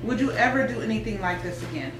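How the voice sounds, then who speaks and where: A fast-talking woman leads a casual interview, informal and chatty. She sounds slightly distant in an echoey room, with music playing behind. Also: medium pitch, lively intonation.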